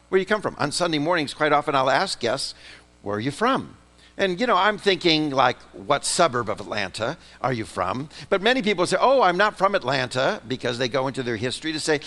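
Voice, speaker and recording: A man delivering a sermon in a large church, his voice fairly high for a man and trailing off into a long reverb. He swings from ringing, emphatic phrases down to near-whispered, hushed lines. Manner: dramatic swings between loud emphatic and soft hushed delivery. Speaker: pastor.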